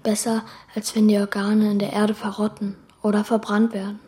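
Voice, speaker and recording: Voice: mid-range voice, completely flat delivery, normal speed, mellow, husky, close to an everyday voice. A performer voicing an earnest, troubled young boy who tells his story in a children's radio play. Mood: subdued. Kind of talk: radio play narration and dialogue.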